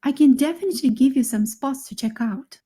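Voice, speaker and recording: A young woman speaking her part in a scripted conversation for English learners, clear and friendly. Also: high-pitched, fairly quick, warm tone. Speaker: speaker in a recorded dialogue.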